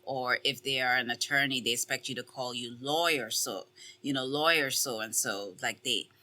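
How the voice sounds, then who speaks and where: A woman's voice: very deep voice, moderate pace, sharp tone. A young woman, a travel professional speaking as a podcast guest in an emphatic, affirming way. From Ghana. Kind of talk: casual interview chat.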